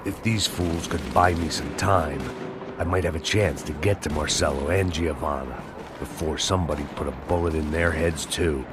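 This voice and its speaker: A world-weary ex-cop in a very deep, low voice, narrating a game cutscene with bleak, sardonic humor. A loud cinematic score swells behind him. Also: male voice, moderate pace, over loud music. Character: weary hard-drinking ex-cop bodyguard, older man. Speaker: noir narrator and lead character. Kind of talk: video game cutscene voice acting.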